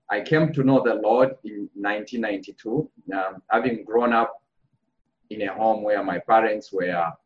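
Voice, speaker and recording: A man from Zambia gives his testimony over a video call, his low voice dulled by the lo-fi audio. He speaks in a measured, reflective way and pauses to hesitate. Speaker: congregation member, panelist. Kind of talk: personal testimony.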